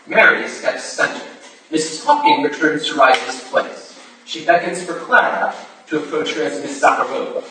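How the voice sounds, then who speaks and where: A man's voice: fairly high pitch, moderate pace, far from the mic. A man reading out stage directions as scripted narration at a staged play reading, in an echoey, noisy hall.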